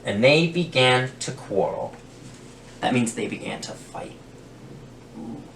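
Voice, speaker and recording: A man reading a children's picture book aloud with deliberate storytelling emphasis, in a roomy, distant recording. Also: mid-range voice, animated, fairly bright tone. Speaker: guest story reader. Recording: roomy, distant mic, faint noise.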